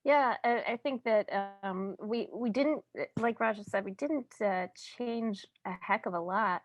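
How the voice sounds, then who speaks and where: A woman being interviewed as a director, speaking casually and haltingly with pauses mid-sentence. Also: fairly high pitch, dry recording.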